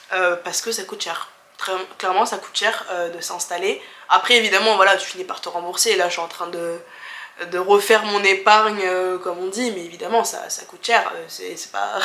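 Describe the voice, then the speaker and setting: A woman's voice: medium pitch, sharp tone, echoey room. A young woman from France in her twenties, answering viewer questions in a candid, chatty way with many fillers, restarts and easy laughs. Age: 20s. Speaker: travel vlogger.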